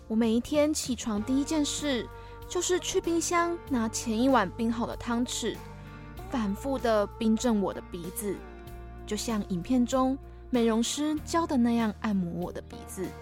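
A young woman as played by an actress narrates an audio drama in the first person. Her voice is slightly high and wistful, with soft music underneath. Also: expressive, normal speed, natural voice. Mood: reflective.

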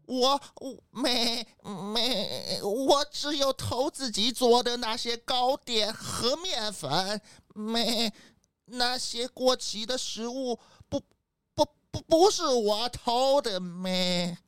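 A woman's voice: on the high side, animated, slow, clean tone, dry recording, clean studio sound. A woman voicing a timid, guilty old male goat who stammers, in a children's audio drama. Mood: flustered, defensive. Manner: stammering, repeating words.

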